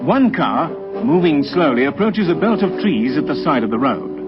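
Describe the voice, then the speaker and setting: A man's voice: on the high side, sing-song, normal speed. A man giving clipped, factual scripted narration for a vintage radio crime drama. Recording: muffled old radio recording, loud music.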